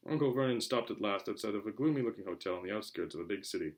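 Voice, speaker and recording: A man reading a storybook aloud as a narrator, in an expressive, theatrical style. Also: fairly low pitch, racing. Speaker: audiobook reader.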